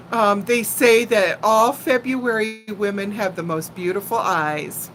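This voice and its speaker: A woman in her sixties with a fairly high voice talks at a very leisurely pace. She is a playful, chatty storyteller addressing her viewers informally, with some faint noise behind her. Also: low-quality recording. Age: 60s. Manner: animated, playful storyteller who laughs easily and often. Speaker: live stream host chatting with viewers. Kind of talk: casual chat and personal storytelling.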